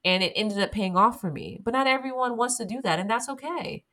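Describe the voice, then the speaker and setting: Blunt, bright-voiced woman guest. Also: a little high, brisk. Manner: candid and emphatic, swears freely. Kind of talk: casual interview chat.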